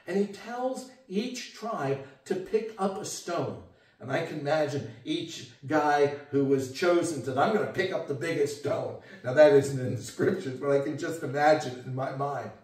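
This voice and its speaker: An older man gives an earnest sermon, his voice warm and mellow with a slightly raised pitch. He is heard from across a living room through a distant camera mic, with strong echo around him. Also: a little slow. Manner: earnest, gesturing, rises for emphasis and drops quiet. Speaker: pastor. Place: home living room with guitars and a TV. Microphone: far camera. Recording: echoey room from far off.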